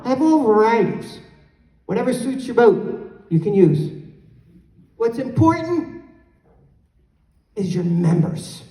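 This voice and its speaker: A middle-aged woman gives a spirited motivational talk into a handheld mic, loud and animated, sometimes yelling. Her voice is mellow in tone. It is captured from across a large hotel conference hall, with a long, roomy echo. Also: medium pitch. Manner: loud, animated, moves the mic away to yell. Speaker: conference speaker. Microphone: handheld mic, filmed from far off. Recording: echoey hall, recorded from far off.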